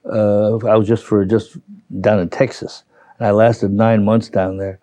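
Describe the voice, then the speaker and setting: An older man with a Brooklyn accent reminiscing as an interviewee, halting and rambling as he recalls. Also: fairly low pitch, flat delivery, warm tone, no echo. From New York, United States. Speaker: interviewee, retiree. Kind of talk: reminiscing in an oral history interview.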